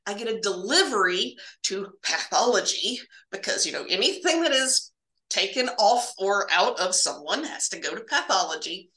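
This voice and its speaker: A woman telling casual, humorous stories as a podcast guest, animated and ready to laugh. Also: mid-range voice, roomy sound, low-quality recording. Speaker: guest, medical laboratory professional.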